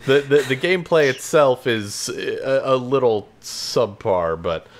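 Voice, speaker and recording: A man's voice: mid-range voice, sing-song, a little slow. A man co-hosting a casual podcast about games and films, with a blunt, informal way of talking. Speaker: podcast co-host.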